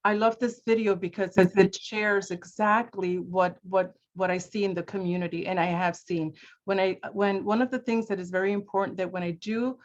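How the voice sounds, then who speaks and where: A woman's voice: medium pitch, fairly even intonation, moderate pace. A woman speaking off the cuff as a community prevention worker on a video call, often repeating words as she hunts for phrasing. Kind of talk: unscripted webinar remarks. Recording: dull lo-fi video-call audio.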